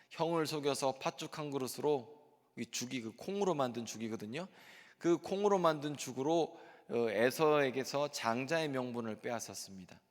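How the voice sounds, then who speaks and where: Middle-aged guy pastor preaching, earnest and explaining, big echoey church hall. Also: medium pitch. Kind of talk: sermon. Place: church sanctuary. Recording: long reverb of a church hall.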